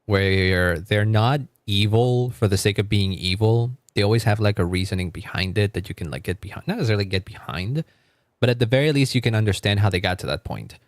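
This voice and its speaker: Low-voiced man streaming game reactions. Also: a little fast, dry recording. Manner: chatty, reacts aloud with exclamations and repeated words. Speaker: livestreamer. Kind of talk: casual live reaction commentary on a game.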